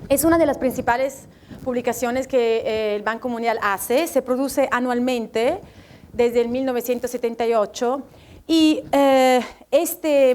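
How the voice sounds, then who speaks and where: A woman from Italy speaking Spanish with an Italian accent, presenting a report at a public event and often pausing to search for words. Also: fairly high pitch, darkish tone. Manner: hesitant, searching for words, light self-deprecating laughs. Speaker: World Bank economist presenting a report.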